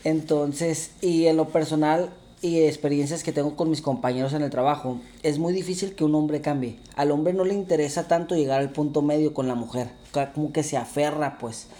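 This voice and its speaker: A man's voice: a little high, steady tone, moderate pace, hardly any pauses, warm tone. A man in his thirties with a Mexican Spanish accent, co-hosting a casual chat with his wife, joking and asking many questions. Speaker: podcast co-host.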